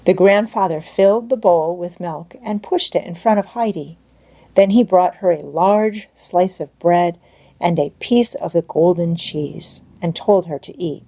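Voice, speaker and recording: A woman's voice: fairly low pitch, a little slow, warm tone. A woman reading scripted storybook narration as an audiobook narrator.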